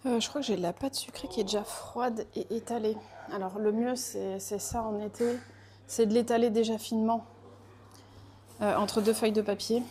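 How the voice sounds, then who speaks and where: A woman's voice: on the high side, steady tone, normal speed, dark tone, slight background noise. A young woman from France chatting casually while she bakes in her kitchen workshop, hesitant, with many fillers and restarts. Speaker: pastry baker vlogger. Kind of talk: casual chat while baking.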